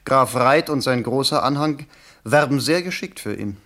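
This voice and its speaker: Man acting a deferential aide in a radio drama, medium-pitched voice, reporting to the boss. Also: normal speed, fairly bright tone, natural voice. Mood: advising. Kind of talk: 1970s German radio play acting.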